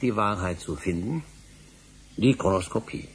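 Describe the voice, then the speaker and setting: An actor playing a middle-aged history professor in a German radio drama, weary, brooding and insistent. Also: male voice, on the low side, lively intonation, a little slow, slight background noise. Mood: insistent, formal.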